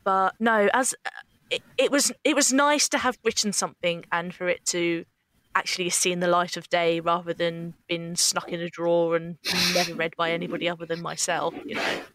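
A woman's voice: on the low side, steady tone, normal speed, a bit bright, no room sound, low-quality recording. A woman talking casually about her work as a writer and actor. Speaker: scriptwriter and actor. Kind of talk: casual behind-the-scenes chat.

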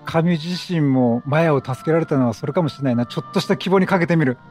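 A man giving chatty, slangy and excitable commentary as he plays a video game. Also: fairly high pitch, fast talker, faint music behind. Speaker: let's play commentator.

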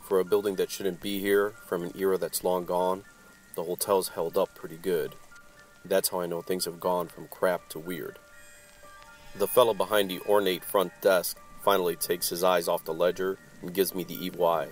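A man playing a gruff, wry, world-weary supernatural private detective, narrating in old-time noir radio style. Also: low-pitched, little pitch movement, moderate pace, soft background music, natural voice. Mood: wry, brooding. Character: hard-boiled, wisecracking male supernatural private detective.

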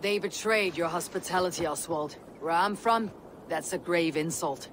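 An actor playing a steely Viking warrior in a video game, with a blunt, dry, confident manner. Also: male voice, on the high side, normal speed, fairly bright tone, a little hiss or hum, lightly acted. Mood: firm counsel. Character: steely, taunting Viking warrior, protective of clan. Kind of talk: video game cutscene acting. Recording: music behind the voice.

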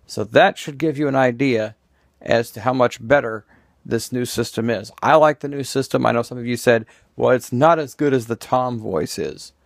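A man talks through an explanation in an informal, halting way. His voice sits at an average pitch, he repeats phrases for emphasis, and the sound is close and dry. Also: creaky, dry recording. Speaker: video creator. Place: small room at home.

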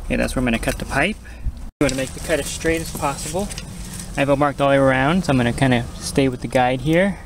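A man's voice: mid-range voice, fast. A man giving casual, plain-spoken step-by-step instructions as he does hands-on work outdoors, hosting a how-to tutorial. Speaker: how-to host, pool equipment installer. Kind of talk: casual hands-on tutorial narration while working. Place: outdoors at a small backyard equipment pad. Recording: outdoor recording with clear ambient background noise.

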